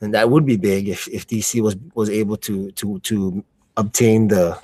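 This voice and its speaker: A man with a fairly low voice talks through fights as a commentator in a very dry recording. His speech is slangy and informal, and he halts as he thinks aloud in loose, chatty live commentary. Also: fairly even intonation, normal speed, mellow, no room sound. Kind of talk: casual live commentary chat.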